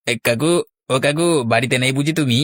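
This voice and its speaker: A man's voice: medium pitch, a little fast, fairly bright tone, dry recording, clean studio sound, lightly acted. A man voicing a cheeky village youth in a cartoon, cajoling and pleading. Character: cheeky village youth collecting money for a feast. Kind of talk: Bengali cartoon voice acting.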